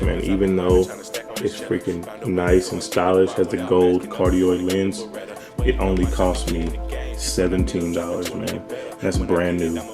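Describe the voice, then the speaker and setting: A young man with a bassy voice, giving a laid-back, slangy home-studio tutorial. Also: gravelly. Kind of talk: casual tutorial talk.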